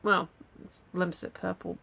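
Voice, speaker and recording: A woman's voice: on the low side, little pitch movement, measured pace, creaky, highs cut off. Snuffly woman with a head cold. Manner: rambling, self-deprecating, many fillers. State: stuffy nose from a cold. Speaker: vlogger. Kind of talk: casual daily video diary.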